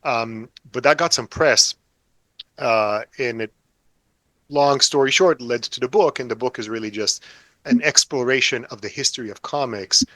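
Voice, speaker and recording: A man in his forties, chatting as an interview guest with a dry, self-mocking wit. His voice sits at an ordinary middle pitch and sounds close and dry, with no room around it. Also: normal speed, pushed and bright, no echo, poor audio. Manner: wry, self-deprecating, joking. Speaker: author guest. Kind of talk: casual interview chat.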